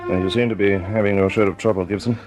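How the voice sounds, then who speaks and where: A man playing a deep-voiced senior air marshal. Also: steady tone, fast talker, loud music behind the voice, close to an everyday voice. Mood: authoritative. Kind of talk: 1940s radio acting.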